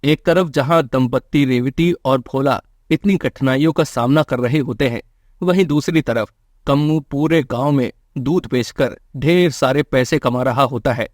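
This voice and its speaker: A man narrates a scripted moral story for children. His voice has an average male pitch, and the recording is dry, with hardly any room sound. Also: measured pace, no room sound.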